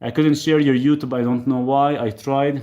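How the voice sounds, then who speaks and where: A man's voice: mid-range voice, moderate pace, dull audio. Easygoing man from Lebanon on a livestream. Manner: relaxed, rambling, reads comments aloud then answers. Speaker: live stream host answering health questions. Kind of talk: casual live chat reading viewer questions. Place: rural property, country home.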